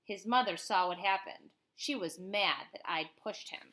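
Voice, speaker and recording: A woman's voice: medium pitch, normal speed, bright, forceful tone. A woman teacher reading a picture book aloud expressively, with informal explanatory asides to children.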